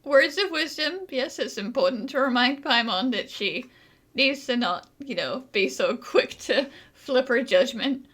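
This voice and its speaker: Female let's play commentator: sharp bright tone, high register, wry and informal. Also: animated, unhurried. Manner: wry, slangy. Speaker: gaming streamer.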